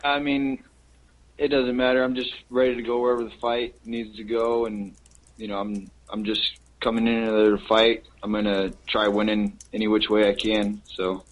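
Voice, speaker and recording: A man's voice: fairly low pitch, little pitch movement, normal speed, poor audio. A man who fights professionally, answering matter-of-factly on a media conference call.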